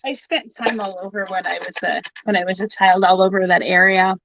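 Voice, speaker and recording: A woman with a New York accent hosting a live stream, chatting casually with quick asides. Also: mid-range voice, steady tone, muffled recording, noisy background. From New York area, US. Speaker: tarot reader hosting a stream.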